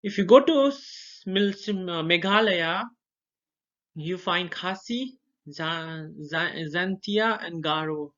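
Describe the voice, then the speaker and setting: A young man from Darjeeling, India, whose first language is Nepali, giving an informal educational presentation and listing items one by one, pausing to recall names. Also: high voice, a bit bright. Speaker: student presenter. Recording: dull lo-fi recording.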